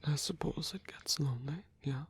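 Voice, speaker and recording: A man playing a lonely, gentle old vampire, murmuring haltingly and breathily in an intimate ASMR roleplay. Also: fairly high pitch, no room sound, exaggerated voice. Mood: wistful, teasing. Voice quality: breathy, whispery.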